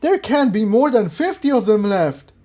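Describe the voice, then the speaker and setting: A man's voice: sits high, sing-song, moderate pace, mellow, pitched above the performer's own voice. A man voicing an anguished, bitter young male pony in an indignant, overwrought way, as in an audio storybook. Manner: indignant, pleading, emotionally overwrought.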